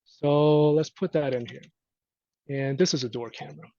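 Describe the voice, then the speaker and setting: A man working as a technical trainer gives an unscripted, step-by-step software walkthrough, informally thinking aloud as he goes. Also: on the high side, moderate pace, no echo. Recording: dry, dull lo-fi audio.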